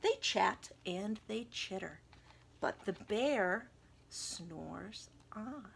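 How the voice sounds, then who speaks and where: A woman reading a picture book aloud to children, expressively and dramatically, dropping into hushed tones and chatty asides. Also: mid-range voice, animated, extremely slow, creaky, a little hiss or hum. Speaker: volunteer children's story reader.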